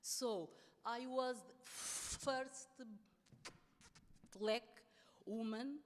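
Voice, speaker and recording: A woman speaks at a very slow pace, stuttering often and restarting her words. Her voice rings out with long reverb in a large hall. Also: on the high side. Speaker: politician and anti-racist activist. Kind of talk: panel talk. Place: large hall at a public event.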